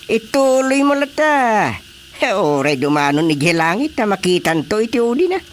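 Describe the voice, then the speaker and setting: Pompous, prying male village chairman, Ilocano accent, swooping pitch, radio comedy, some background noise. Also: very animated, normal speed. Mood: challenging.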